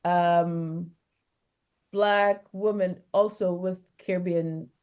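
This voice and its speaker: A Jamaican woman speaks in a dark, relaxed tone on the lower side. She is taking part in an academic discussion, often pausing and repeating herself as she looks for the right words. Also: smooth. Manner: hesitant, searching for words, repeating phrases. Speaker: academic discussant asking questions.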